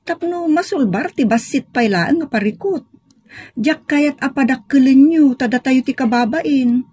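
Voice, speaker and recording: A woman's voice: high-pitched, unhurried, mellow, slightly rough, no room sound, clean studio sound. A woman playing an earnest grown child appealing to a parent in an audio drama, urgent, with an Ilocano accent. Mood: urgent, pleading. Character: earnest grown child appealing to mother about family shame. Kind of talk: Filipino audio drama acting.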